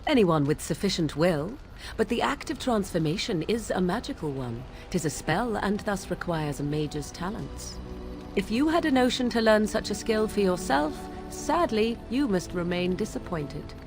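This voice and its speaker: A woman's voice: medium pitch, expressive, moderate pace, over music, close to an everyday voice. An actress playing a haughty, sardonic young witch of the wilds in a fantasy game, with arch, archaic, formal diction. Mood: aloof, explaining. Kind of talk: fantasy video game dialogue acting.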